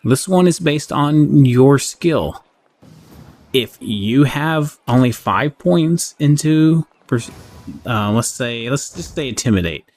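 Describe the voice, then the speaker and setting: A man's voice: mid-range voice, animated, slow pace, darkish tone. A man giving a casual game review, rambling and thinking aloud as he goes, with an easy readiness to chuckle. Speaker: gaming channel host. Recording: clearly audible background noise.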